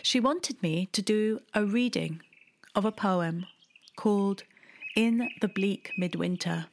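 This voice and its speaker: A woman, telling a personal memoir in a wry, deliberate way, with hushed asides. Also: mid-range voice, a little hiss or hum. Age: 30s. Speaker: storyteller. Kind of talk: memoir storytelling.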